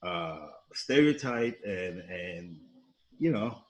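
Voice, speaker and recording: A man's voice: deep voice, animated, takes it slowly. A man hosting a casual comedic interview, informal and joking in how he talks. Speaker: interviewer, host. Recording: echoey room.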